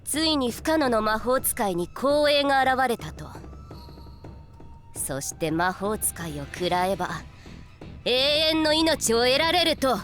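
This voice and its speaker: A woman's voice: a little high, big pitch swings, fairly quick, fairly bright tone, faint music behind. An actress playing a haughty, predatory female werewolf in a Japanese visual novel. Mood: haughty menace.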